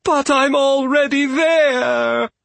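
A man's voice: extremely high pitch, steady tone, takes it slowly, creaky, fairly bright tone, no room sound, pitched above the performer's own voice, heavily acted. A man voicing a timid, terrified female giant rabbit in a pleading, panicky manner for a storybook. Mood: panicked wailing.

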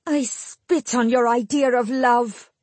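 A woman's voice: high-pitched, slow pace, a little mellow, slightly rough, no echo, pitched above the performer's own voice, full character voice. A woman voicing a shaken, bitter young woman in an audiobook, raw with emotion. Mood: bitter, furious. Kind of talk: audiobook character acting.

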